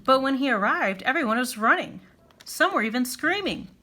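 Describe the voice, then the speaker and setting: Children's book narrator: woman, lively melodic range, slightly high, clearly phrased and expressive. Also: moderate pace. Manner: animated, clearly phrased storytelling. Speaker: storyteller reading a children's picture book.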